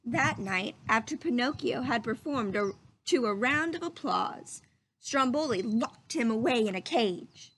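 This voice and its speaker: A woman's voice: fairly high pitch, animated, a little slow, a bit bright, slight background noise, poor audio. A woman reading a children's story aloud expressively, with little asides to her listeners.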